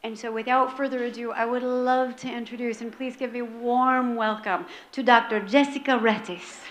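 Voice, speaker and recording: A woman host works the room from a stage, upbeat and rallying the crowd before bringing on the next speaker. Her voice sits somewhat high, with faint room ambience behind it. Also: fairly even intonation, normal speed, background noise. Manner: upbeat, rallies the audience. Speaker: event host introducing a speaker. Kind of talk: lively stage introduction. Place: event stage before a live audience.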